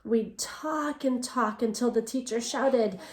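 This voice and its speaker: A woman teacher reading a picture book aloud to children in English and then Spanish, pausing to ask them questions. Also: a little high. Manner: expressive storytelling, asks children questions. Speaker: elementary school teacher. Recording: echoey room.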